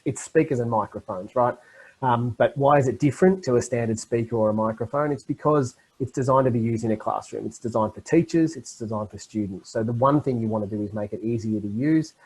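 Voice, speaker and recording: Husky-voiced man presenting webinar. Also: medium pitch, a little mellow, low-quality recording. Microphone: video call.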